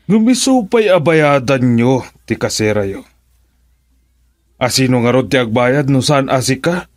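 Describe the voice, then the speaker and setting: A man's voice: medium pitch, animated, measured pace, dry recording, studio quality, lightly acted. An actor playing a stern, angry older father of wealth in a radio drama, scolding and indignant.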